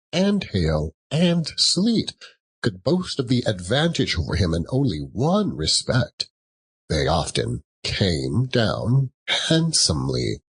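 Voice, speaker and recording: A man narrating an audiobook in a theatrical, dramatic storytelling style, deliberately lingering over his words. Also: fairly low pitch, slightly rough.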